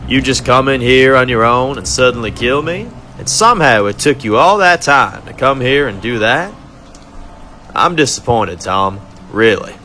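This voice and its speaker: A man's voice: medium pitch, expressive, bright, forceful tone, slight background noise. A man voicing a sadistic male villain who mocks and gloats while interrogating a prisoner. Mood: taunting. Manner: taunting, drawn-out mocking delivery.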